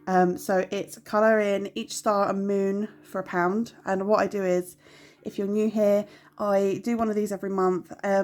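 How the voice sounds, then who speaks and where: A woman's voice: medium pitch, a little mellow. Chatty woman with a cold. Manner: chatty, rambling, many ums, apologetic. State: congested with a cold, nasal. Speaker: budgeting vlogger. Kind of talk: casual chat to camera.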